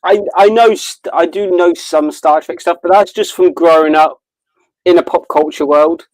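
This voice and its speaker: Male podcast co-host, slightly high voice, very dry sound, casual and sweary joking. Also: normal speed, no room sound, low-quality recording. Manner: crude, self-deprecating joking, swears freely. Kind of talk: casual chat, watch party banter.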